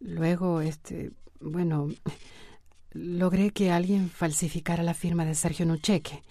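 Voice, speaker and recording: Troubled woman, mellow low voice. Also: fairly even intonation, unhurried, dry recording, studio quality. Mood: hesitant, guilty. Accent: Latin American. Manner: hesitant, confiding, uneasy. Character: troubled, secretive woman reluctantly confessing to her friend. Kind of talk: radio soap opera acting.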